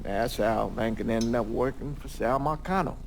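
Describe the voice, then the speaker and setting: A man plays a reflective witness looking back on a crime story in a game. His mid-pitched voice is measured and explanatory, with faint noise behind it. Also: natural voice. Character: reflective man recounting a crime family's downfall. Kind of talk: documentary-style video game narration.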